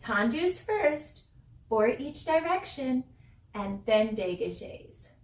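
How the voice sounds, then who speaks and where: High-voiced woman teaching dance in an echoey room. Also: big pitch swings, takes it slowly. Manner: encouraging, instructive. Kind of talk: ballet class instruction with demonstration and counting. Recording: roomy echo, distant mic, music behind.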